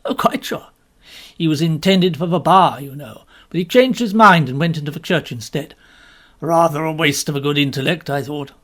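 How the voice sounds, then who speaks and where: An easygoing, educated gentleman voiced by a woman in an audiobook story. He chats with assurance, pitched down from the narrator's usual voice. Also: normal speed. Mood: breezy, assured. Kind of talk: audiobook character dialogue.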